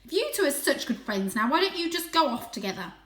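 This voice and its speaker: A woman's voice: sits high, very animated, quick pace, fairly bright tone, voice pushed up. Woman as a spiky storybook alley cat, female, curt, scornful, guarded, roomy echo. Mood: angry.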